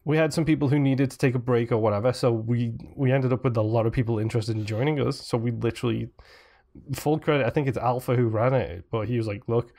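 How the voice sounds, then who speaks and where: A man with a medium-pitched voice speaks as a podcast co-host. His delivery is informal and conversational, like relaxed gaming talk among regulars of a show. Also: fast talker. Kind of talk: casual gaming podcast chat.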